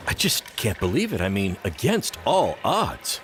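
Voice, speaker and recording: An American small-time wrestling promoter for a video game. He is jokey and supportive, hugely animated in pitch, over faint ambience. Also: unhurried, a little hiss or hum, exaggerated voice. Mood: amazed, wistful. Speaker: voice actor as wrestler's manager. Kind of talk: video game cutscene acting.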